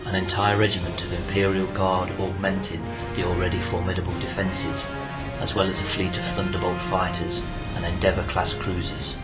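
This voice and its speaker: A man narrating a scripted science fiction story in a solemn, measured, dramatic storytelling manner. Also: sits low, level tone. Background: loud music.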